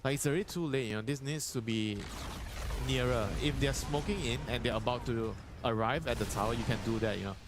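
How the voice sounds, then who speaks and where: Man, medium pitch, esports analyst, explanatory and opinionated, conversational, music behind. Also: a little fast.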